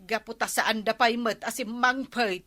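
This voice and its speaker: Actress as kind-hearted rural housewife, chatty, bright and slightly high, unrushed; comedy drama. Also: female voice, steady tone, normal speed. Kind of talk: comedy radio drama acting in Ilocano.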